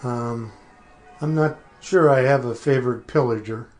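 A man's voice: mid-range voice, a little slow, loud music behind the voice. A man giving casual, off-the-cuff answers as an interview guest, hesitant and thoughtful.

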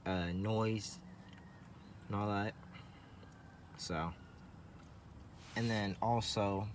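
Slow, rambling man with a low voice. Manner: rambling, hesitant, repeats phrases. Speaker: vlogger showing a CB radio setup in a truck. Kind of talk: casual hands-on walkthrough. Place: in and around a parked truck. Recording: noisy handheld recording with vehicle ambience.

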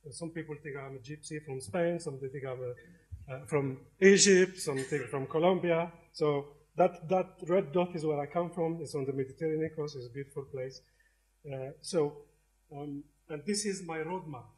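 A man's voice: fairly high pitch, moderate pace, a little mellow. A middle-aged man from Algeria giving an academic lecture in a lecture hall, talking conversationally with frequent hesitations and restarts.

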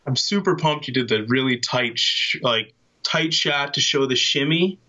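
A man's voice: mid-range voice, lively intonation, normal speed, bright, forceful tone. A young man chatting casually as a podcast guest, enthusiastic and slangy, over a video call. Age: young adult. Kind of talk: casual chat. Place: small room at home. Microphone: video call, webcam at arm's length. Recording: dull lo-fi video call audio.

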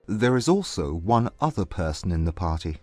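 A reserved, proud gentleman, played by a man, his low voice rising and falling widely as he chooses formal words with care. The read is close and dry, with faint music under it in an audiobook drama. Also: big pitch swings, moderate pace, no echo. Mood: earnest formal. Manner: formal, hesitant courtesy.

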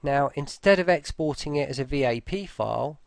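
Guy doing a casual software walkthrough, bit gravelly, dry close sound, thinks out loud. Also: a little high, brisk, no room sound. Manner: informal, thinking aloud as they go. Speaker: software tutorial presenter. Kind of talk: casual screen-recorded walkthrough.

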